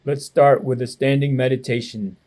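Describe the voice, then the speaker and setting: A man reading the part of a calm, firm old grandfather who gives measured instructions in a children's storybook. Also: medium pitch, close to an everyday voice. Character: calm, firm old Chinese grandfather and Tai Chi teacher.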